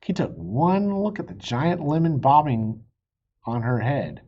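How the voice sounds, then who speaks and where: A man reading a children's story aloud in a playful, expressive way, with a husky voice. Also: a little high, unhurried, lo-fi sound. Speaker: storybook reader.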